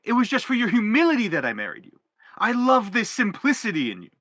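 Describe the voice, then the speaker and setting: A man's voice: high-pitched, huge pitch swings, quick pace, fairly bright tone, no room sound, studio quality, full character voice. An actor playing an anguished middle-aged father in a stage drama, pompous, wordy and insistent as he justifies himself. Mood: indignant, exasperated. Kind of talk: theatrical stage drama acting.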